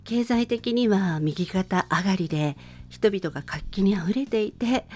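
A woman's voice: medium pitch, a little mellow. A middle-aged woman hosting a radio show, introducing a song in a polite, conversational monologue addressed to listeners. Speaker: radio show host and presenter. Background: music.

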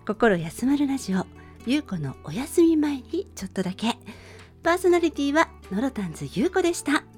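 A woman's voice: high-pitched, sing-song, fairly quick. Polite woman hosting radio, music behind. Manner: polite, formal speech. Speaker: radio show host. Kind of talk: radio show sign-off and chat.

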